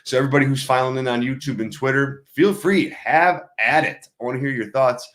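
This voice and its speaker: A man hosting a live wrestling news show, chatty and upbeat, a bit hoarse from losing his voice. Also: medium pitch, fairly quick, fairly bright tone. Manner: upbeat, chatty, many fillers. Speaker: host. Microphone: streaming setup mic.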